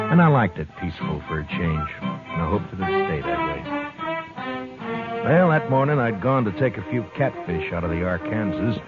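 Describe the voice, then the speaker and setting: An old radio western marshal, low and laconic, with swooping intonation. He is calm and dryly witty, on a dull vintage recording with music behind. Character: calm, dry-witted frontier marshal, a grown man. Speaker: actor playing the lead and narrator. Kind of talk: 1950s radio western acting and narration.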